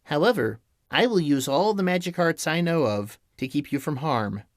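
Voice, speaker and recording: A man voicing a kind little old witch in an audiobook, speaking gently and motherly. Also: a little high, animated, moderate pace, dry recording, clean studio sound, voice pushed up, close to an everyday voice. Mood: gentle. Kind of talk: audiobook character voice.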